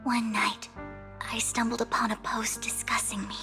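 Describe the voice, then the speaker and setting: An actress playing an earnest, devoted young woman of misfortune in an anime-style game, her voice soft and sometimes breathy. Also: fairly high pitch, flat delivery, moderate pace. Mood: sorrowful. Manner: earnest, confiding. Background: game music.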